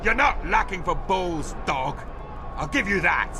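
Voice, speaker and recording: An actor playing a cruel, mocking warlord who rules a fighting pit in a video game, commanding and taunting. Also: male voice, sits high, animated, measured pace, music in the background. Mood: cold threat. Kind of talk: dramatic video game acting.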